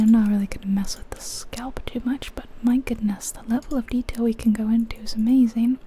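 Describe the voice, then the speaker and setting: A woman whispering softly and breathily in an unhurried, musing ASMR commentary on a video game. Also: on the high side, breathy edge. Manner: hushed, unhurried, musing aloud.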